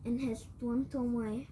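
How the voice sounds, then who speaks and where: A woman with a tiring, cracking voice tells an improvised fan story, rambling, correcting herself and clearing her throat often. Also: on the high side, mellow, slight background noise. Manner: rambling, with frequent self-corrections. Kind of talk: improvised fan-fiction retelling.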